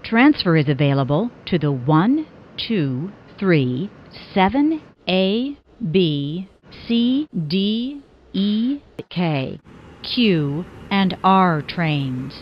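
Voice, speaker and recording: A woman reading scripted subway announcements as a transit announcer, formally and in separate, clearly spoken phrases. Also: on the low side, big pitch swings, very slow, dull audio, a little hiss or hum. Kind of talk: scripted subway train announcement, pieced from clips.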